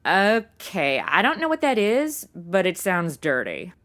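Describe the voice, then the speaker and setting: A woman's voice: on the low side, very animated, a little slow, a bit bright. A woman playing a slangy, blunt, sarcastic modern young woman who is a detective's sidekick in a comedy audio drama. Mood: wry, questioning.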